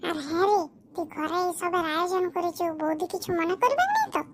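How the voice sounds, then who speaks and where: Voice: very high-pitched, expressive, fairly quick. A performer voicing a curious, skeptical village man in a cartoon, who keeps firing questions. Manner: questioning, incredulous. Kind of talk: cartoon comedy voice acting.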